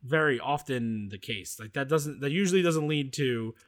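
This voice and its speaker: A man's voice: medium pitch, animated, moderate pace. A man co-hosting a podcast, talking casually about films and often searching for his words. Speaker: podcast co-host. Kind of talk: casual film discussion.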